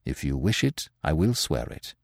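A man voicing a composed, grave leader who speaks quietly and in a measured way. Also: sing-song, vocal fry, no echo, clean studio sound, full character voice. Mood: calm, grave. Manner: quiet, measured, low delivery. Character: grave, composed man leading a secret group.

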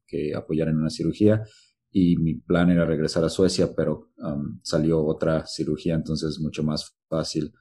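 A man answering interview questions, pausing often and searching for words over a call. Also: rumbling low, level tone, dark tone, slight background noise. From Mexico. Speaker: researcher guest.

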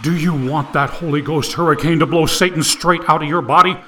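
A man playing a fervent revival preacher in an audiobook, declaiming in a booming voice to a huge congregation. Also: fairly even intonation, a little fast, pushed and bright, a little hiss or hum. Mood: fiery exhortation. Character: booming, fiery male revival preacher working a huge crowd. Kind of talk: evangelical sermon acting in an audiobook.

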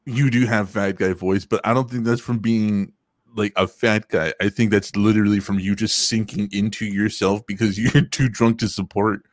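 A young man co-hosting a casual podcast, with a deep voice that has no crackle, crude and teasing and quick to laugh. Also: fairly even intonation, no room sound, clean studio sound. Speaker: podcast co-host. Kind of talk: casual chat.

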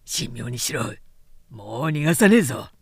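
A woman voicing a tough young male Edo thief-catcher, barking commands in rough old slang. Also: extremely low pitch, expressive, moderate pace, no echo, voice pushed down, full character voice. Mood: fierce, commanding. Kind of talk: dramatic audiobook storytelling.

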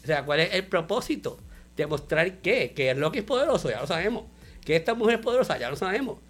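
A man, co-hosting a casual show review chat, animated and mixing in English words. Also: high voice, fast talker. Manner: animated, mixes English words, laughs often. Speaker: podcast co-host.

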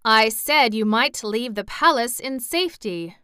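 A woman's voice: on the high side, animated, unhurried, sharp tone, clean tone, no echo, clean studio sound. A woman voicing a blustering, threatening little male king in a storybook. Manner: threatening, boastful.

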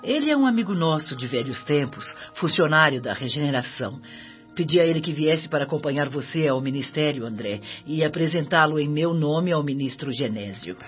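A woman's voice: sits low, expressive, brisk. An actress playing a kindly older spirit woman in a Brazilian radio drama, affectionate and earnest as she counsels. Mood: cheerful. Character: kindly motherly older spirit woman giving humble advice. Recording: dull lo-fi, music underneath.

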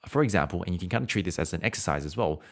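A man teaching singing in a relaxed, conversational way, now and then humming or holding vowels to show a point. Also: low voice, rapid-fire, vocal fry. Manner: conversational, demonstrates with hums and held vowels. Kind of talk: explanatory singing lesson, podcast.

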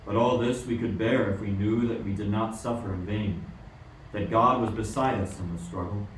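A man's voice: lowish voice, fairly even intonation, normal speed, lightly acted. A man playing a solemn, sorrowful king of the poor in a radio play, grave and declamatory. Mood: sorrowful, impassioned. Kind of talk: amateur radio play acting. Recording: echoey room, distant mic, faint noise.

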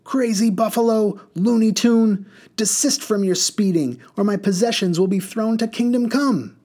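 A man doing a shrill scolding woman passenger. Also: moderate pace, mellow. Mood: furious. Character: shrill quarrelsome muddy female passenger.

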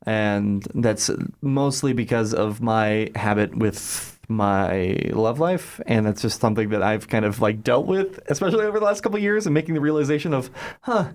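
A man co-hosting a casual podcast, excitable and rambling, with lots of fillers. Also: mid-range voice, moderate pace, hardly any pauses. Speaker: podcast co-host. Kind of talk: casual chat.

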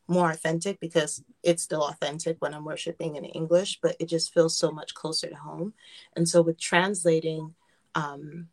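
A woman from the United States speaking earnestly and reflectively as a guest in a conversational interview. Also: sits low. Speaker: gospel singer, radio guest.

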